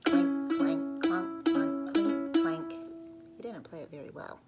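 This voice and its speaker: A woman reading a folk tale aloud to children, pausing to ask them questions and dropping into whispers. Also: sits low, loud music behind the voice. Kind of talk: children's read-aloud storytelling.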